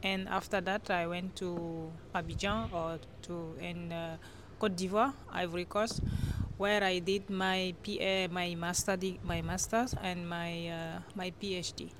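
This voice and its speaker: A woman from Cameroon with a Francophone Central African accent, giving explanatory interview answers as a guest scholar in halting, non-native English, often rephrasing. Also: fairly low pitch, fairly even intonation, noisy background. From Chad-born, grew up in northern Cameroon.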